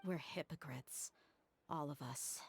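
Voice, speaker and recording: A woman playing a weary, introspective female mercenary in a video game, speaking in a measured, sincere and reflective way. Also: low-pitched, slight background noise. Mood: bitter, pensive. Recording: music behind the voice.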